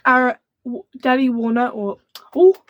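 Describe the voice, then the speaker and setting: A young woman who speaks in a soft, quiet voice, chatting to camera about books in a rambling, gushing way. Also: fairly high pitch, animated, normal speed, highs cut off, background noise. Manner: rambling and gushing, full of fillers. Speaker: book vlogger. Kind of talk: casual book chat to camera.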